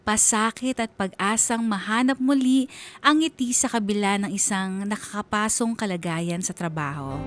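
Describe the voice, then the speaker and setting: A woman with a Filipino accent hosting and narrating a scripted radio story in a dramatic storytelling style. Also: mid-range voice. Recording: music bed under voice. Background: background music.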